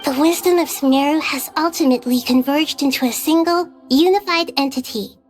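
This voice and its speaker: A high, childlike voice from a woman actor plays a gentle little goddess in a video game. She speaks with measured, earnest formality, old wisdom in a young girl. Light music plays underneath. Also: lightly acted. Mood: reflective. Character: small, wise, gentle young girl deity. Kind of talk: scripted video game dialogue.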